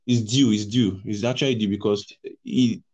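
A man's voice: on the low side, fairly even intonation, moderate pace, a little mellow, dull audio. A Nigerian man with a Nigerian accent hosting a podcast in casual, rambling chat, often repeating words and laughing. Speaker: podcast host.

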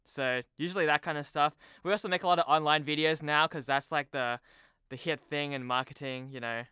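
A man's voice: on the high side, fairly even intonation, brisk, pushed and bright, smooth, no room sound, clean studio sound. A young man chatting casually as a podcast guest, informal and self-deprecating. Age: young adult. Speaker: podcast guest, TV promo producer. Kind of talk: casual chat. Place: remote video call.

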